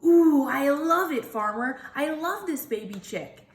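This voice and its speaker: An excitable little girl played by a woman reading a storybook, pitched high in a roomy space. She gushes and pleads childishly, repeating her words with stubborn eagerness. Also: measured pace. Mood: thrilled, pleading.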